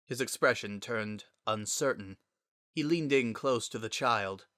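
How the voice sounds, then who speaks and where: Guy doing dramatic fantasy story narration, crisp bright voice, no room echo at all. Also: medium pitch, a little slow, studio quality.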